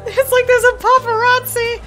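A woman in a group game playthrough, talking in a giggly, excitable, theatrical way. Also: extremely high pitch, normal speed. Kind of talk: group playthrough reading game dialogue aloud. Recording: loud music behind the voice. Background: game music.

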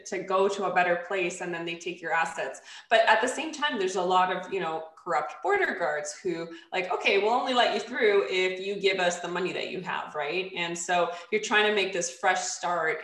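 A woman's voice: on the low side, fairly bright tone, roomy sound. A woman in her thirties, born in Poland, talking as a podcast guest on a video call, thoughtful and full of fillers and restarts. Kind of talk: casual video-call interview. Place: home room, plain light wall. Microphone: desk microphone on a video call.

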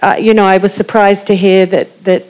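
A woman's voice: medium pitch, flat delivery, a little fast, a little mellow, low-quality recording. A woman with a New Zealand accent, making a long musing comment from the audience with many ums. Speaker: audience member.

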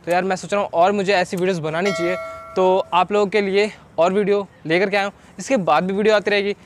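A man's voice: sits high, fairly even intonation, quick pace, background noise. A man, chatting casually to his viewers as a vlogger. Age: 20s. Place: outdoors, selfie intro and open-air street market. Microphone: handheld camera or phone at arm's length.

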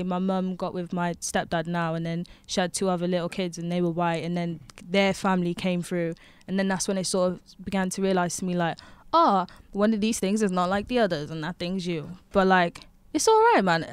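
A woman's voice: fairly low pitch, steady tone, brisk, clear, resonant tone, no echo. A young woman, talking frankly and animatedly as a rapper guest in a studio lounge, into a handheld mic. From Wales. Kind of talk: casual conversation. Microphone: handheld microphone.

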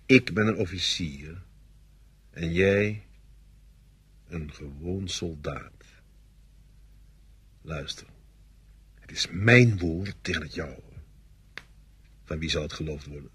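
A man's voice: deep voice, slow pace, long pauses, fairly bright tone, husky, dry recording, exaggerated voice. An actor playing an arrogant, cowardly army officer in a radio play, condescending and threatening as he bargains. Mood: coldly threatening. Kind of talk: Dutch radio play acting.

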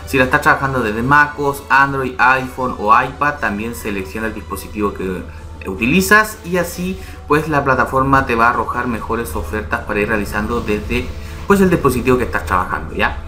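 Bright-voiced man walking through a tutorial. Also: medium pitch, expressive, brisk, soft background music. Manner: explains step by step, often asking "¿ya?" and "¿vale?". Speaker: YouTube channel host. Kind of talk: casual screen-recorded tutorial.

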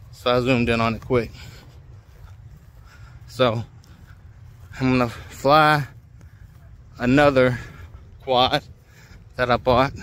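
An older man chatting casually as he walks through an open field at dusk, filming himself with a phone held at arm's length. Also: medium pitch. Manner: relaxed, chatty, colloquial. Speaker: drone hobbyist vlogger. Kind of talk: casual walk-and-talk vlog.

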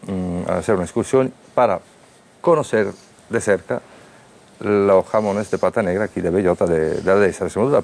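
A middle-aged man from Italy speaking, a restaurateur guest on a studio panel who tells anecdotes lively, acting out the dialogue, on a lapel mic. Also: sits low, gravelly. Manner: animated storyteller acting out quoted dialogue. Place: TV studio set.

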